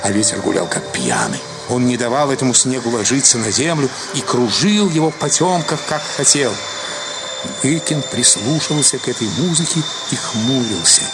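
A man's voice: medium pitch, animated, moderate pace, background noise. A man giving a dramatic literary reading as the narrator of a story, with music behind him. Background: loud music.

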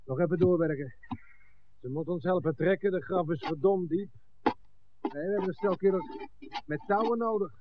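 Actor as higher-voiced rough henchman, tinny sound. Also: normal speed, pauses often, lightly acted. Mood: businesslike, uneasy. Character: rough henchman, gruff and grudging, serving a boss. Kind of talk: 1960s Dutch radio drama acting. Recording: thin, band-limited old radio recording, hiss.

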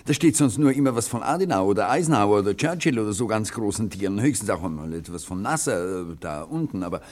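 An actor playing a bashful, flustered policeman in a radio drama, stammering, excitable and apologetic. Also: mid-range voice. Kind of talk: German radio drama acting.

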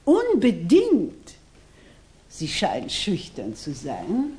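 A woman acting a grand, affected salon lady in a 1960s German radio play, imperious and halting in her theatrical delivery. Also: fairly low pitch, wildly swooping pitch, warm tone, breathy edge, exaggerated voice. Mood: haughty, musing.

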